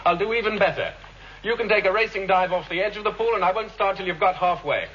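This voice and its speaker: High-pitched, agitated husband, old radio drama. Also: male voice, fast, fairly bright tone. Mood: playful, brisk. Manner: urgent, accusatory, emotionally heated. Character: agitated husband of a targeted woman, angry and fearful. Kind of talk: vintage radio drama acting. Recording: muffled vintage radio recording, faint hiss.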